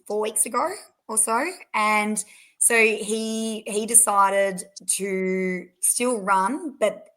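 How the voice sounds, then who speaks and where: A young woman with an Australian accent, her voice hoarse and croaky, chatting as a guest on a podcast with lots of fillers and restarts. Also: medium pitch, fairly bright tone. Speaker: race winner guest. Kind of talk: casual podcast interview.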